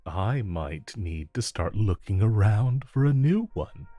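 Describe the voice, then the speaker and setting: A smug, flirtatious supervillain played by a man in a romantic audio drama, with a low voice and an unhurried, coaxing delivery. He teases as he talks, stretching out his phrases. The voice is close and dry over music. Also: expressive, warm tone, no echo. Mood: teasing, wry. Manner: teasing, coaxing, drawn-out phrasing. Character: flirtatious, smug infamous supervillain, a teasing regular customer. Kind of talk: romantic audio roleplay, addressed to listener.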